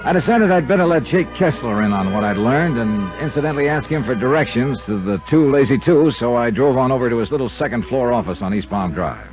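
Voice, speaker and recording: Casting: male actor for hard-boiled insurance investigator, mid-pitched, dogged, clipped and animated; old radio serial. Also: fairly quick, hardly any pauses, soft background music, close to an everyday voice. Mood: businesslike. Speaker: radio actor, lead and narrator. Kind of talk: 1950s radio detective drama, acting and first-person narration. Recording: dull vintage radio recording.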